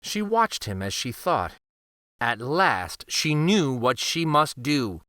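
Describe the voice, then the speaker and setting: A man reading a children's picture book aloud in an expressive, deliberate, theatrical storytelling style. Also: mid-range voice, no room sound, clean studio sound.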